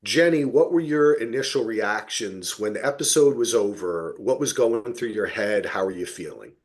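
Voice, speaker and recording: A man's voice: fairly low pitch, moderate pace. A man hosting a podcast in a casual, informal and enthusiastic chatting style. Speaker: podcast host.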